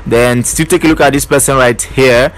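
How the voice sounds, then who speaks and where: A man's voice: mid-range voice, steady tone, normal speed. A man teaching an online earning method in a casual screen-share walkthrough, leaning on fillers like "basically" and "you know". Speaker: online money-making tutor.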